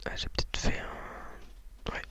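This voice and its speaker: A woman whispering softly and breathily in an unhurried, hesitant ASMR style, as host of talk and poetry readings.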